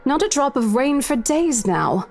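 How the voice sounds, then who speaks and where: A man voicing a calm, apologetic young woman who tries to smooth things over. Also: very high-pitched, measured pace, voice pushed up, exaggerated voice. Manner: apologetic, soothing. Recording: game music behind.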